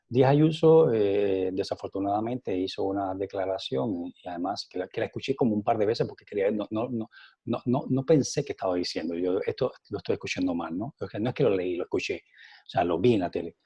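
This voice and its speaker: A middle-aged Cuban man, a scientist, answering interview questions in a halting way with many fillers and repeated words, his accent softened by years in Spain. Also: on the low side, husky. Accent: Cuban Spanish, softened by years in Spain. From Cuba. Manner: animated, rising emphasis on key points.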